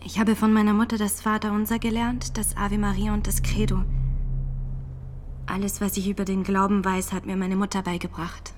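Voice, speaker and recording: A woman's voice: mid-range voice, flat delivery, moderate pace, a little hiss or hum, natural voice. An actress in a radio drama playing a devout, defiant nineteen-year-old peasant woman on trial, steadfast and plain-spoken in manner. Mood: steadfast, earnest.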